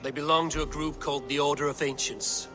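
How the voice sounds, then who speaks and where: An actor playing a stern, blunt male Viking warrior in a game cutscene, speaking in a steady, measured way. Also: on the high side, music in the background, natural voice. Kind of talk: video game cutscene acting.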